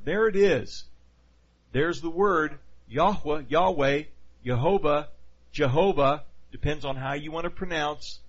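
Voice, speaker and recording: A man's voice: on the high side, breathy edge. A man preaching a teaching sermon in a conversational way, often putting questions to his listeners. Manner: conversational, asks the audience questions. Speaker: pastor. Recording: dull lo-fi sound.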